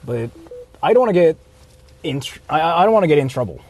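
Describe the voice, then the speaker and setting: A man's voice: a little high, big pitch swings, fast, darkish tone. A man chatting casually on a livestream from inside a car, rambling, repeating his phrases and swearing freely. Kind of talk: casual IRL livestream chat while driving. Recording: noisy car interior. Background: vehicle noise.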